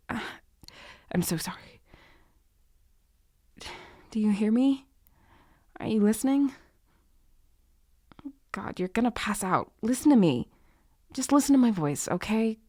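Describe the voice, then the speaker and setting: A woman playing a snarky but soft-hearted young tutor in an ASMR romance roleplay, halting and sweary, with sighs and a tendency to drop into whispers. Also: mid-range voice, dry recording, clean studio sound. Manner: halting, awkward, sweary, often sighing and dropping to whispers. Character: harsh, snarky young female tutor turned flustered, guilty comforter.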